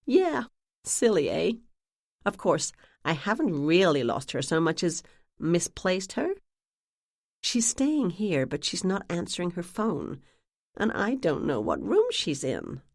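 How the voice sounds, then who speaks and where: A woman voicing a woman who puts on a giggly, gossipy act with a New England accent, dropping to a confiding hush at times. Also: lowish voice, big pitch swings, slow pace, vocal fry, dry recording, studio quality, heavily acted. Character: woman posing as a giggly, chatty lost sister.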